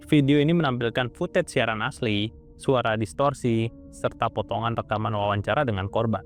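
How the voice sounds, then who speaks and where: A man narrating an explainer as a YouTube host, informal and slangy in his wording. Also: mid-range voice. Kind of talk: informal explainer narration about internet horror.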